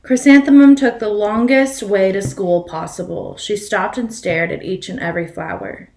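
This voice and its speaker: A woman's voice: medium pitch, moderate pace, a little mellow. A woman reading a children's picture book aloud expressively as a storyteller, putting questions to her listeners. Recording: echoey room.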